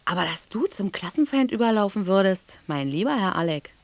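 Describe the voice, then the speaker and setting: A woman's voice: mid-range voice, lively intonation, a little fast, no echo, close to an everyday voice. An actress playing a disappointed East German woman scolding someone in a radio drama. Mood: reproachful.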